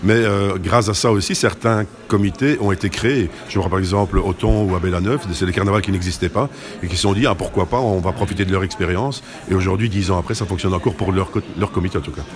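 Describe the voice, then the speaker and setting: Fast-talking, low-voiced man. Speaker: carnival committee president, interview guest. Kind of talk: conversational interview answers.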